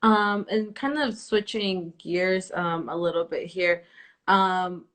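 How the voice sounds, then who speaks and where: A young woman from El Salvador, a native Spanish speaker, hosts the conversation. She speaks in a thoughtful, soft-spoken way with frequent "um" pauses, in a somewhat low voice. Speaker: host. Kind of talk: interview host.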